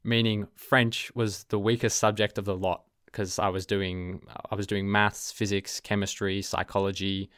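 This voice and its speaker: A young Australian man telling his own story in a casual, thoughtful way, often pausing with "um" and correcting himself. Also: fairly low pitch, flat delivery, fairly bright tone, dry recording, clean studio sound. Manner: thoughtful, self-correcting, lots of ums. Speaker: language learner. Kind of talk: casual talk to camera.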